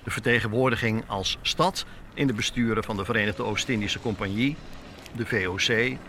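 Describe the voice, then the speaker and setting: A man's voice: on the low side, normal speed, sharp tone, slight background noise. A man hosting as a reporter, reading scripted documentary narration for a podcast.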